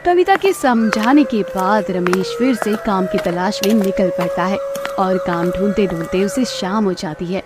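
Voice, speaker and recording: A woman's voice: medium pitch, a little slow, loud music behind the voice. A woman reading scripted narration for a children's moral story as the storyteller.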